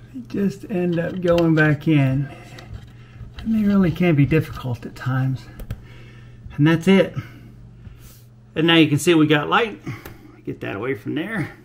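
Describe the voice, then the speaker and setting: Folksy older man explaining a home repair. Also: on the high side. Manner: relaxed, folksy, plain-spoken explaining. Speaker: DIY video host. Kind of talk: casual how-to demonstration. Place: home kitchen, indoors. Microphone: lapel mic.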